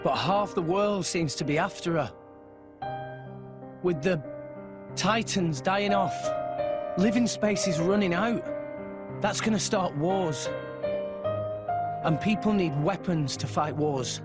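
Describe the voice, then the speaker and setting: Earnest young adventurer boy for a game, high voice, loud music all over it. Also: takes it slowly, pauses often. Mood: earnest, worried. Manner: earnest, sincere. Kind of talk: video game cutscene voice acting.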